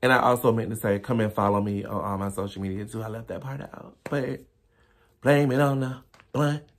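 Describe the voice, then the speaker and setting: A man's voice: fairly low pitch, a little fast. A young man reacting to a music video trailer in his living room, talking casually with playful, dramatic exclamations. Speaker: reaction YouTuber. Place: small home living room, indoors.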